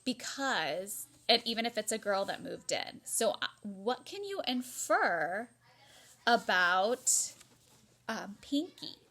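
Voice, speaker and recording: Animated woman teacher reading aloud to children. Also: medium pitch, slow pace. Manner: expressive, explaining and prompting. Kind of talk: interactive children's read-aloud.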